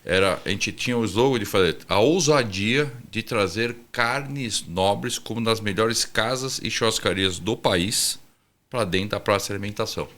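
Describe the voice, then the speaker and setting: A man's voice: fairly low pitch, moderate pace, a bit bright. A middle-aged man chatting casually as an interview guest, informal and anecdotal, often acting out bits of remembered conversation. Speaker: guest, restaurant chain founder and chief executive.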